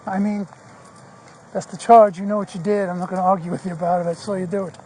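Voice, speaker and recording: A man's voice: sits high, little pitch movement, quick pace, a little mellow. A male police officer questioning someone on scene in a matter-of-fact, informal way, picked up on a body camera. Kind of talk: on-scene questioning during an arrest. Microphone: body-worn camera. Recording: noisy body camera audio.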